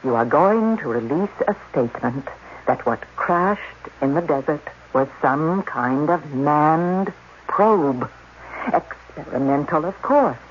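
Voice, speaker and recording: A man's voice: on the high side, lively intonation, slow. A man playing a cunning, impassioned scientist in a radio drama, speaking with intense, persuasive insistence. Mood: calculating, insistent. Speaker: actor. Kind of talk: 1980s radio drama acting. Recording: dull, noisy old radio recording.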